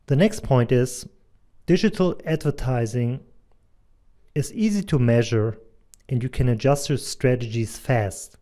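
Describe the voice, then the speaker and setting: A man in his thirties with a German accent, giving an earnest, measured presentation as a doctor and advertising consultant. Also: medium pitch, mellow. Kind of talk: scripted presentation voice-over.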